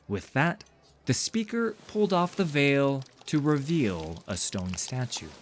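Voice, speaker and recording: A man narrating a fantasy story in a dramatic, scripted audiobook style. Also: medium pitch.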